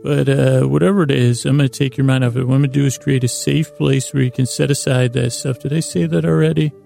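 A middle-aged American man hosting a bedtime podcast, rambling in a halting, digressive, self-deprecating way with a lulling, soothing tone. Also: mid-range voice, steady tone, gravelly, warm tone, faint music behind.

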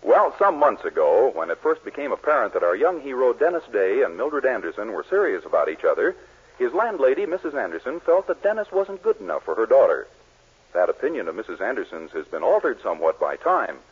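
A man's voice: mid-range voice, sing-song, brisk, husky. An American man announcing in the style of 1940s network radio. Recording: tinny 1940s radio broadcast.

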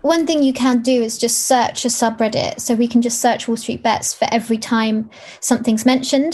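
A woman's voice: a little high, darkish tone. A woman data journalist explaining her findings in a conversational way.

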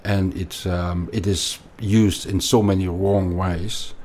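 A man's voice: low voice, steady tone, takes it slowly, a little mellow. A middle-aged man from Belgium with a Belgian accent, a finance guest explaining in an interview, often repeating words and restarting. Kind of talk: conversational interview.

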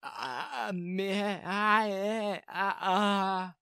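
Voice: medium pitch, animated, slow, bright, forceful tone, no room sound, clean studio sound. A narrator voicing a flustered, timid, reluctant ordinary character who stammers and hesitates in storybook dialogue. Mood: startled, disbelieving. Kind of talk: audiobook-style character dialogue.

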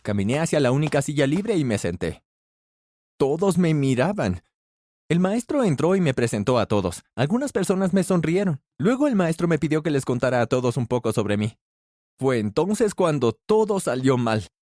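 A man narrating a scripted first-person story with animated delivery, shifting his pitch when he voices the characters. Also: normal speed, dry recording, studio quality.

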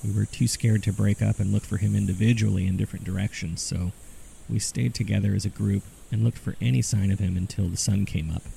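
A man hosting a story podcast, reading scripted mystery case files in a measured, serious storytelling manner. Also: fairly low pitch, fairly even intonation, creaky, warm tone, a little hiss or hum, rough recording. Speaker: podcast host and narrator.

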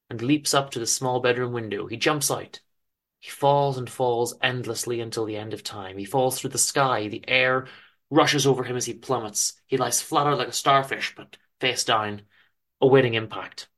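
A man reads literary fiction aloud in a dramatic style. His voice is mid-pitched, with a sharp, bright edge. He places emphasis deliberately, using theatrical pauses. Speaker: author reading own story aloud. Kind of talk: dramatic literary story reading.

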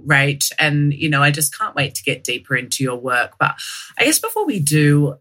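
A woman's voice: low voice, pushed and bright, no room sound. A woman hosting a podcast, talking in a casual, enthusiastic and gushing way from her apartment. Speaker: podcast host.

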